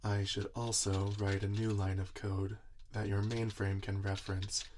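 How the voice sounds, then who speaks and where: A man playing a gentle, earnest monster inventor in an ASMR roleplay, speaking softly in a soothing, deliberate, reassuring way. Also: on the low side, level tone, close to an everyday voice. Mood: focused, musing. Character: gentle, earnest male monster inventor tending his robot creation. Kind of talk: soft-spoken ASMR roleplay. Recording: audible background noise, typing and clicks. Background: computer keyboard typing and clicks.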